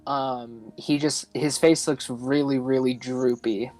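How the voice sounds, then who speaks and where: A man playing a tabletop roleplaying game, describing his character in a halting, casual way with repeated words. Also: mid-range voice, steady tone, darkish tone.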